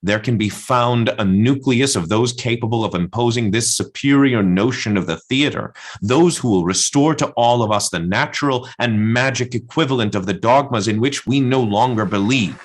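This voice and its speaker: A man playing a solemn orator, reciting a manifesto in a lofty, measured, formal manner. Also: mid-range voice, natural voice. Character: solemn male orator declaiming a manifesto on theater.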